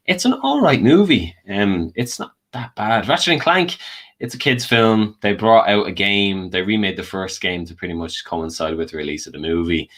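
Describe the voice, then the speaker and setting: A man with a low-pitched voice talks casually as a guest panelist. His delivery is informal, conversational and suited to a friendly debate. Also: lively intonation, moderate pace, fairly bright tone. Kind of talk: casual film debate chat.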